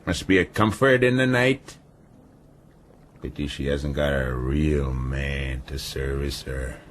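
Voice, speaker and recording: Slow, deep-voiced ailing, sardonic sea captain. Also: male voice, slight background noise. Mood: mocking. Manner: mocking, deliberate, philosophical. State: ailing, weakened. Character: brutal, sardonic ship's captain, ailing and half-paralyzed, defiant. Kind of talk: radio drama acting.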